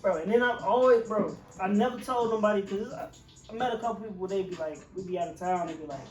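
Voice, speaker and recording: A man from Michigan, a chef and podcast co-host, telling rambling animated stories in casual chat. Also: sits high, quick pace, echoey room, distant mic, highs cut off, music in the background.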